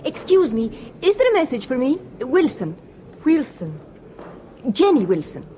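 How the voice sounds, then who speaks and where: An actress playing a brisk, practical young local woman in a radio play. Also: high voice, wildly swooping pitch, warm tone, heavily acted. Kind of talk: 1970s radio drama acting. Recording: outdoor scene with clear noise.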